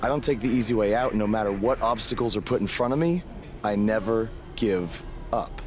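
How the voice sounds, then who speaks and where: A man's voice: fairly low pitch, a little fast, slight background noise, lightly acted. A man playing a determined up-and-coming pro wrestler in video game cutscenes, speaking earnestly and plainly. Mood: earnest, resolute.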